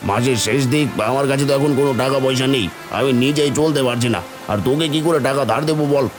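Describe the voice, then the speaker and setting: A man's voice: mid-range voice, fast talker, over music, close to an everyday voice. Proud village schoolteacher played by a man. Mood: haughty, dismissive. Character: proud, stingy schoolteacher friend, later ruined. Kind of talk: animated Bengali moral story dialogue.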